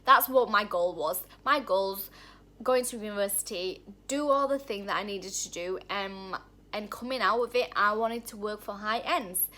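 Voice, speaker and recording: A woman's voice: medium pitch, moderate pace, sharp tone. Candid woman telling her story. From Thailand. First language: Thai. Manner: candid, rambling, restarts often, heartfelt. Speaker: vlogger. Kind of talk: personal story vlog. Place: indoor room at home.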